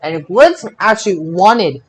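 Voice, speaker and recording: A woman's voice: on the low side, animated, unhurried, slightly rough, low-quality recording. A woman on a wrestling podcast panel, chatting casually and arguing her points insistently. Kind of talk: casual argumentative chat.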